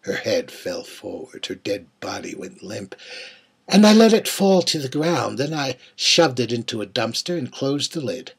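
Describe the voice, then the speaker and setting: Man to play male serial killer, dramatic monologue. Mid-pitched, rough-breathy voice, wistful menace, halting and confiding. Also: sing-song, heavily acted. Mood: hushed, chilling. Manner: intimate, halting, dropping to near-whispers. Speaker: writer reading own monologue.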